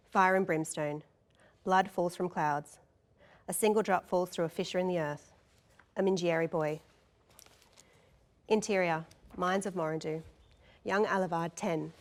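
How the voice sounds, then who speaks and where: Low-voiced woman reading screenplay directions. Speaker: narrator of a table read. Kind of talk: scripted narration of screenplay directions.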